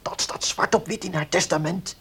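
A man's voice: sits high, moderate pace, creaky, sharp tone, slightly rough, in a whisper, heavily acted. A man playing a cautious, fussy village notary in a radio play, formal and hesitant in manner. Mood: conspiratorial warning. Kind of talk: Dutch radio play acting.

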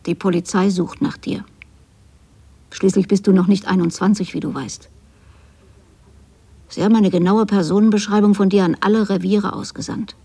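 Bitter, husky, reproachful mother. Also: medium pitch, fairly even intonation, normal speed, pauses often, mellow. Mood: vindictive, accusing. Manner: accusing, precise, theatrical. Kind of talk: radio drama monologue.

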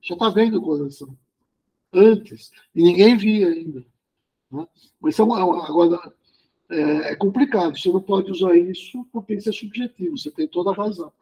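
High, husky man leading a study group. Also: normal speed, mellow, rough recording. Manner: musing, explanatory, frequent tag questions. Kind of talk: reflective group discussion.

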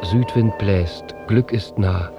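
A man's voice: lowish voice, slow, muffled recording, music in the background. A man playing a pious, lovestruck, conscience-torn knight, declaiming rhymed verse solemnly in an audio drama. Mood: tender, grateful.

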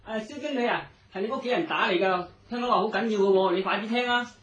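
A woman's voice: medium pitch, fast talker, lo-fi sound, close to an everyday voice. A woman with a Cantonese accent playing a polite, urgent club switchboard clerk in a radio drama. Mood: polite urgency. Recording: distant echoey sound, faint noise.

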